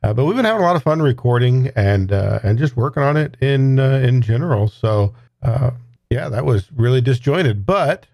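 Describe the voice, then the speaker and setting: A man with an average-pitched voice chats casually as a guest podcaster. His way of talking is informal and a little self-deprecating, and the recording is dry and close. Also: expressive, moderate pace, creaky, darkish tone, no echo. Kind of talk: casual chat.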